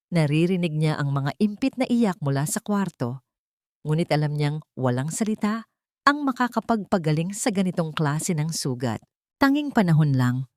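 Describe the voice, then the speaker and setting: Female storyteller, lowish voice, dry sound, measured dramatic delivery of scripted romance narration. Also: no echo.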